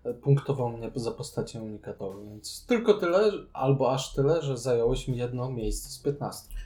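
A man with a soft, mellow voice talks as a podcast co-host. His delivery is conversational and analytical, relaxed in tone and mid-pitched. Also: normal speed. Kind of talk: casual board game discussion.

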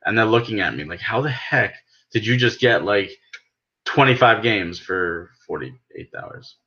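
A man's voice: lowish voice, expressive, unhurried, bright, forceful tone, muffled recording. A man with an American accent talking casually and persuasively about things as a podcast host, emphatic and explanatory. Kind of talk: casual gaming podcast discussion.

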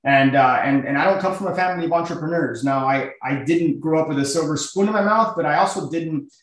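A middle-aged man from Miami talks as a podcast guest. His voice is fairly high, and he tells his story earnestly and informally. The recording is heavily echoey and roomy. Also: quick pace. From Miami, United States. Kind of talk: casual personal storytelling.

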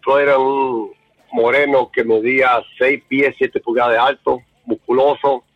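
A man from the Dominican Republic talks earnestly with a husky, breathy voice. His sound is dry and close but comes through a dull, muffled line. He often repeats a word while he searches for the next one. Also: mid-range voice, little pitch movement, a little slow, no room sound. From Dominican Republic, raised in Puerto Rico. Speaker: interviewee, bar owner. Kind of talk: remote interview.

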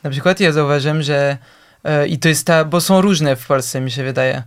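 A man's voice: a little high, normal speed, smooth. A young man, a musician, talking in an interview, hesitant with many fillers and restarts. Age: young adult. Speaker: guest, musician. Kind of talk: casual interview talk.

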